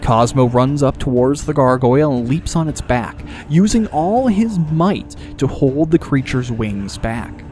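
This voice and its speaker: A man's voice: mid-range voice, animated, unhurried, few pauses, darkish tone, a little hiss or hum. A man reading scripted fantasy narration as an audiobook narrator.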